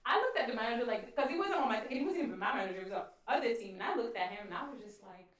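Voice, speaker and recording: A woman co-hosting a podcast in casual chat, telling stories with lively energy and acting out what others said. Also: on the high side, very fast. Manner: animated storyteller, acts out voices of others. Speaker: podcast co-host. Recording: roomy sound, distant from mic.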